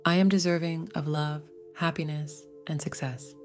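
A woman narrating a scripted guided meditation in a gentle, soothing, measured way. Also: low voice, steady tone, over music.

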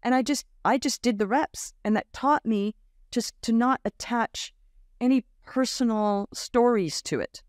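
A woman in her fifties, raised in Australia, telling stories as a podcast guest, lively and acting out the voices of others. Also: fairly high pitch, a little slow, dry recording, studio quality. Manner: animated storyteller, acts out quoted voices, laughs often. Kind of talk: casual interview storytelling. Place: home room with bookshelf. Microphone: large studio mic on boom arm, video call.

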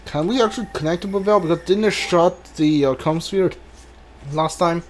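Male game streamer, fairly high voice, casual self-directed muttering, faint noise behind him. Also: fairly quick. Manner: muttering to himself, talks back to the game. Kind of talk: casual playthrough commentary.

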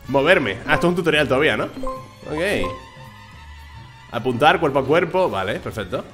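A man's voice: fairly high pitch, expressive, moderate pace, music in the background. A man in his thirties from Venezuela with a neutral Spanish accent, casually commenting on a game and joking while reading text aloud. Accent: neutral Spanish, faint Venezuelan. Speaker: gaming streamer. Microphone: headset.

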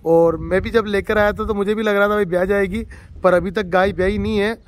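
A man's voice: sits high, steady tone, a little fast. A man from Rajasthan, a dairy farmer, shows a cow for sale in a casual spoken walkthrough, heaping on enthusiastic, repetitive praise from off camera in an outdoor farmyard. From Rajasthan, India. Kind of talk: casual spoken livestock showcase.

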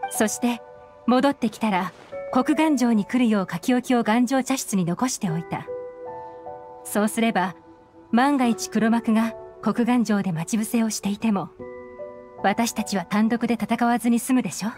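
A composed young female spymaster from a video game, played by a woman. Her voice sits a little high and she speaks deliberately, with knowing authority, over soft background music. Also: natural voice. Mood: calm, assured. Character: cool, shrewd female intelligence operative and boss. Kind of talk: Japanese video game voice acting.

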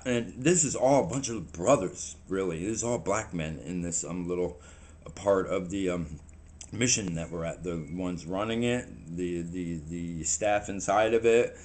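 A man, telling a story to the camera in a rambling, halting way and sounding a little shaky, recorded in a small room on a camera in front of him. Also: low-pitched. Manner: rambling, halting, animated hand gestures. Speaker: vlogger.

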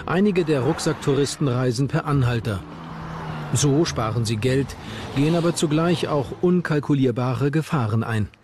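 A man's voice: mid-range voice, normal speed. A man reading scripted narration for a true-crime documentary. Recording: narration over background music.

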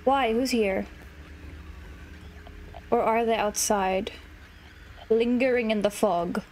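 A woman commentating a horror game, sniffly from allergies and shrieking excitedly at enemies. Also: a little high, takes it slowly, a little hiss or hum. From South Africa. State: sniffly, stuffy nose from allergies. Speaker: gaming YouTuber. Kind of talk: let's play commentary.

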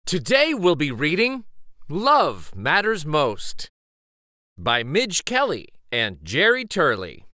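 A man in his forties reading a children's picture book aloud in an expressive, gentle storytelling way. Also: on the high side, takes it slowly, no room sound, studio quality. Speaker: children's story reader and host.

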